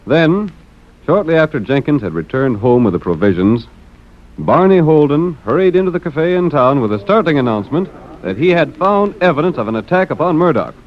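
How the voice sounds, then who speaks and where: An American actor narrating a 1930s radio Western in a formal, dramatic announcing style. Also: male voice, on the high side. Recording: dull, noisy 1930s radio recording.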